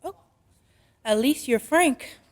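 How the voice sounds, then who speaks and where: A woman playing a brisk, practical, skeptical working mother in an old-time radio drama, dry and matter-of-fact. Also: high voice, animated. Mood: brisk, wry.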